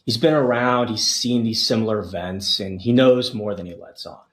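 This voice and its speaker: A man's voice: on the low side, moderate pace, a bit bright. A man, an actor, giving casual interview answers in a roomy, echoing recording.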